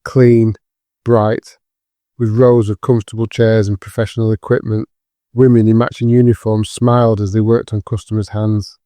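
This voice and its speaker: A man narrates a scripted drama in a mid-pitched, mellow voice. His delivery stays even and controlled. The sound is dry and close. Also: moderate pace, no room sound.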